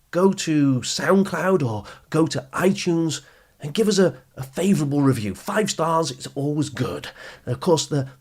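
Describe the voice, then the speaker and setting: A man presents a podcast as an educator, talking straight to the listener. His voice sits a little high and has a husky grain. He instructs and encourages, leaning on key phrases, with faint music behind him. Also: darkish tone. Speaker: podcast host and education speaker. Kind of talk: scripted podcast narration, addressing listeners directly.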